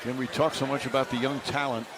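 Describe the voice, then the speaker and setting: A man's voice: mid-range voice, level tone, fast. A man calling a basketball game live in short, clipped, factual phrases. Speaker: basketball play-by-play announcer. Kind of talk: live sports commentary. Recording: heavy arena noise behind voice.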